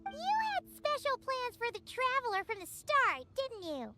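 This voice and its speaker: A woman voicing a small, childlike, cheeky floating fairy companion for a video game, with a squeaky voice. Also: very animated, a little slow, exaggerated voice. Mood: curious. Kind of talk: video game character acting. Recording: loud music behind the voice.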